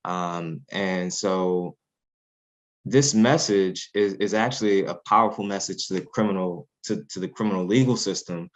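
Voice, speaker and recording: A very deep-voiced man speaks as an interview guest, earnest and reflective. His first language is Haitian Creole. He talks in a loose, conversational way, with frequent fillers and restarts. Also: moderate pace. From Haitian family.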